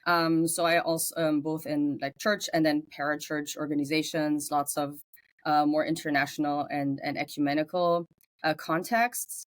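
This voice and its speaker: A guest in an interview who grew up in Germany and speaks English with a German accent, thoughtful and searching for words, with frequent pauses and fillers. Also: female voice, low voice, flat delivery, no echo. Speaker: guest theologian. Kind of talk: interview conversation.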